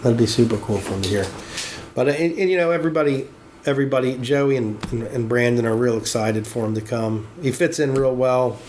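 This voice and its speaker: A man's voice: medium pitch, fairly quick, darkish tone, slight background noise. A man in his forties chatting casually at a tattoo shop, rambling and swearing, often restarting his sentences. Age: 40s. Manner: rambling, profane, many restarts. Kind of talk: casual chat.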